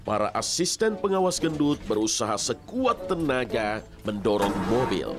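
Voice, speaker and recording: A man tells a children's story as scripted narrator. His mid-pitched voice moves up and down with lots of expression. Loud music runs behind him throughout. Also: very animated, moderate pace.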